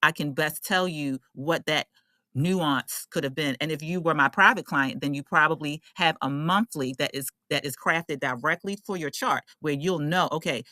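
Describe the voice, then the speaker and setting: A woman's voice: deep voice, sharp tone, no echo, studio quality. A woman giving a casual astrology forecast as an online host, talking in an emphatic, conversational way with slang and rhetorical questions.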